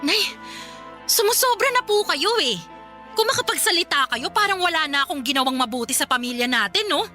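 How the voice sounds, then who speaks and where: A woman's voice: high voice, expressive, fairly quick, sharp tone, soft background music. A woman playing a weary, hurt adult daughter who cares for her sick mother, pleading and exasperated in a Filipino radio drama.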